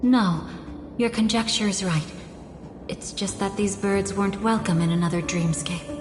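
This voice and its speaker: A woman voicing a playful, enigmatic young thrill-seeker in a video game, composed and teasing in a deliberate way. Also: medium pitch, natural voice. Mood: calm, intrigued. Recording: echoey with long reverb, music behind. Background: game music.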